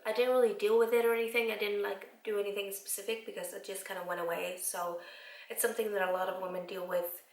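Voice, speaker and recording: A woman in her twenties from Iceland, slightly raspy after a cold, chatting casually to camera with lots of fillers. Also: medium pitch, level tone, fast talker, reverberant, low-quality recording. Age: 20s. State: recovering from a cold. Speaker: vlogger. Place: home room.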